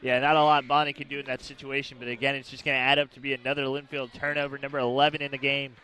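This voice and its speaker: A man's voice: medium pitch, little pitch movement, rapid-fire, bright, forceful tone. A man giving basketball color commentary, analysing plays in a conversational way with fillers.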